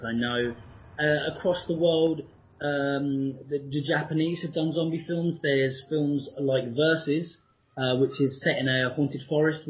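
A man's voice: on the high side, dull audio, slight background noise. A British man co-hosting a casual podcast chat, talking enthusiastically with frequent ums. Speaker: co-host.

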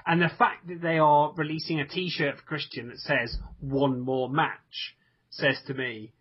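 Male podcast co-host, husky and emphatic, muffled recording. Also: on the high side, fairly even intonation, measured pace. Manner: emphatic, frequent restarts. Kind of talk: casual chat.

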